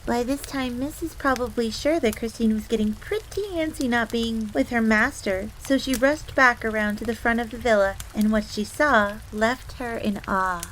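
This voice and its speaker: An excitable fox maid acted by a woman tells her tale in a theatrical, fairly high voice. She is devoted and speaks of herself in the third person, as in an audio roleplay. Light ambient noise sits behind her. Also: moderate pace, few pauses, lightly acted, poor audio. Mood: devoted, proud. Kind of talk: in-character storytelling audio roleplay. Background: background ambience.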